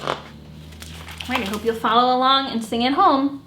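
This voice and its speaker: A woman hosting a children's story time, reading and singing picture books in an animated way and acting out the sounds. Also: high-pitched, normal speed, echoey room, noisy background. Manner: animated, acts out animal sounds and song refrains. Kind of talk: sung picture-book read-along for children.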